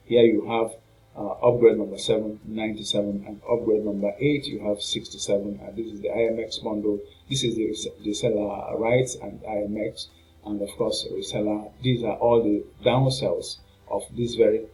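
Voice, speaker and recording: A man giving an informal, halting and repetitive screen walkthrough as a product reviewer. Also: on the low side, warm tone, poor audio. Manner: halting, repetitive, rambling narration with filler phrases.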